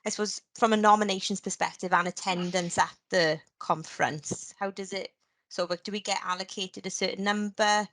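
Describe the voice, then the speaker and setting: A woman's voice: mid-range voice, steady tone, fairly quick, a bit bright. A woman on a video call asking a question in a meeting, tentative and a little self-deprecating. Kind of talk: casual meeting talk.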